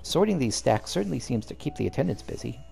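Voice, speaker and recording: A man reading aloud the lines of a calm, learned young female scholar guide from a game, in a measured, formal way. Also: medium pitch, creaky, faint music behind.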